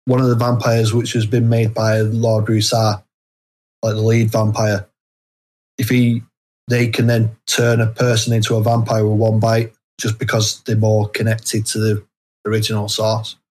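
A man chatting casually as an interview guest, speaking haltingly with hesitant, broken phrasing and repeated false starts. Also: fairly low pitch, completely flat delivery, a little mellow. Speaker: guest author.

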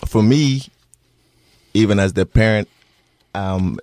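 A man in his thirties with a Bahamian accent, answering interview questions earnestly with many pauses and restarts. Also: on the low side, darkish tone, dry recording. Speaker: interviewee, parent.

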